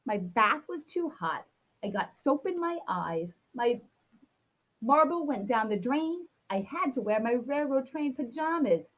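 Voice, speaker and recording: A woman's voice: high voice, sing-song, measured pace, fairly bright tone, low-quality recording. A woman reading a children's picture book aloud, expressively acting out a grumpy child's complaints. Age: adult. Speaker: storybook reader.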